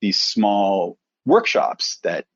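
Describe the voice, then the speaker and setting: A middle-aged man from Canada, a presenter coach telling his career story in a relaxed, thoughtful interview. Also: lowish voice, drawn-out words, dry recording, dull audio.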